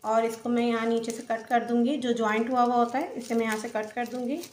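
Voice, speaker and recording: Woman cooking host, Pakistani Urdu accent, slightly high voice, methodical step-by-step narration, faint kitchen noise. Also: brisk. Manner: calm, methodical, narrates each step as she does it.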